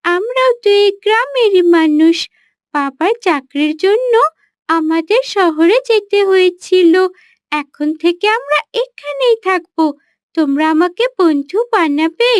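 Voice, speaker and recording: A woman's voice: very high-pitched, animated, unhurried, no echo, clean studio sound. A woman voicing a polite, earnest young girl in a cartoon, with a questioning manner. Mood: earnest, pleading. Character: polite, earnest young city girl, hurt but friendly. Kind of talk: cartoon character dialogue.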